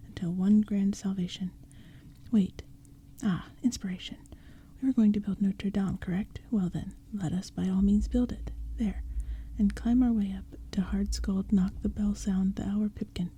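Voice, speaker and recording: Mysterious coaxing old man in a dark hushed whisper, read by a woman. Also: mid-range voice, husky. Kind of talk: whispered storybook reading.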